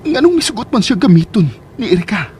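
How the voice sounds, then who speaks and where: A man playing a troubled, guilty married man in a radio drama monologue, halting and anguished. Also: sits high, sing-song, darkish tone. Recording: noisy ambience behind the voice.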